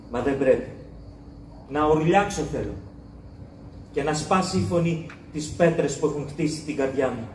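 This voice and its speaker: Guy acting as a heartbroken father mourning, high strained voice, far off in a big echoey hall. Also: background noise, close to an everyday voice. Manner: slow, anguished, lamenting delivery. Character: grieving father mourning a daughter he wronged. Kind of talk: theatrical monologue, stage declamation.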